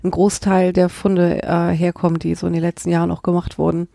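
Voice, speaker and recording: A woman's voice: on the low side, flat delivery, fairly quick, gravelly, warm tone. A young woman from Hamburg chatting casually in a wry, teasing way. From Hamburg-Harburg, Germany. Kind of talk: casual chat.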